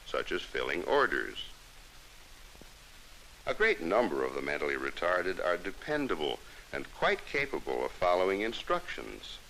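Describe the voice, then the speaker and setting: An old, faintly hissy early-1960s film soundtrack carries an American man's narration. His voice is crisp and forward, with lively rises and falls. He reads formally and instructively, like the scripted educational films of that time. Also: low voice, rough recording.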